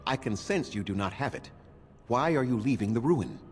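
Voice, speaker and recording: An actor voicing a stern, proud old male elf clan keeper in a video game, speaking in grave, measured, formal tones. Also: medium pitch, animated, faint music behind, lightly acted.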